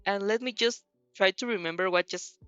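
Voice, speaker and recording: A woman's voice: medium pitch, a little fast, fairly bright tone, dry recording, faint music behind. A woman hosting a casual fanfiction read-aloud, hesitant and giggly, often correcting herself as she goes. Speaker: host of fanfiction reading show.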